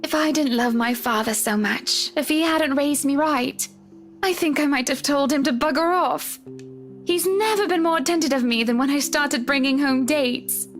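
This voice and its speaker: A woman's voice: sits high, normal speed, natural voice. A woman acting a courteous, chatty lady in a video game. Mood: wistful, confiding. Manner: polite, genteel phrasing. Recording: music behind the voice.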